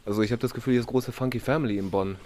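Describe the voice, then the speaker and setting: A man's voice: medium pitch, fairly even intonation, rapid-fire, darkish tone. A man with a cold hosting a vlog outdoors, chatty and upbeat in a slangy way. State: has a cold. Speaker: vlog host. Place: outdoors in a city. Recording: outdoor street noise behind.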